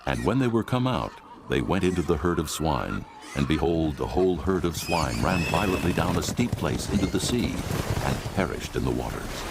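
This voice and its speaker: A man narrating a dramatized Bible in a solemn, dramatic storytelling manner. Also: sits low.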